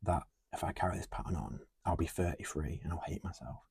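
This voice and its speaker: A man's voice: deep voice, a little fast, creaky, darkish tone, slightly rough, no room sound, studio quality. A man, talking earnestly and musing aloud on a podcast. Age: 20s. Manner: earnest, musing, talks through lists. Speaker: podcast co-host. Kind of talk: casual heartfelt conversation.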